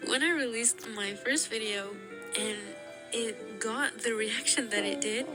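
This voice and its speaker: A young woman vlogger with a fairly high voice talks straight to her viewers. She tells stories in an animated, giggly way, full of "like" and little laughs. Music plays clearly underneath her voice. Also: a little slow, rough recording. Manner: animated and giggly, with many fillers. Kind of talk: casual storytelling to camera. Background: background music.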